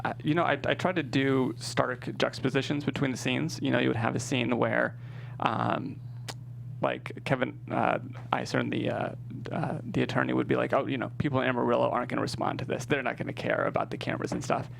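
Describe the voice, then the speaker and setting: A man's voice: mid-range voice, level tone, brisk, vocal fry. A man being interviewed as a screenwriter, talking casually and explaining his process. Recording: faint hum and background noise.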